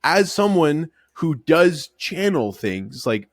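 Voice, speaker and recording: A man who is a podcast guest, talking casually with a head cold, sniffling a little and getting animated. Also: on the high side, slow, no echo, studio quality. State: stuffy with a cold.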